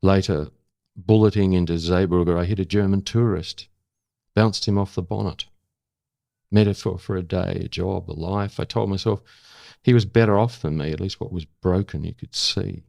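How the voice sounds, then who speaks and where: An older Australian man with a gravelly voice, speaking as an interview guest and former correspondent. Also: deep voice, steady tone, moderate pace, a little mellow, no echo, clean studio sound. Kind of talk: dramatic spoken-word recital of own prose, then reflective conversation.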